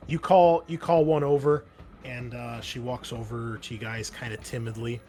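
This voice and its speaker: A man running a tabletop roleplaying game as game master, narrating off the cuff in a halting, conversational way. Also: medium pitch, fairly even intonation, dark tone, a little hiss or hum. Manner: halting, conversational, thinking aloud. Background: background music.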